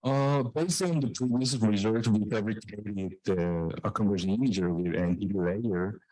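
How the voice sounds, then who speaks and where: A man presenting research in a technical scientific talk, with a halting, hesitant delivery that often repeats phrases. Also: lowish voice, a little mellow, no room sound, poor audio.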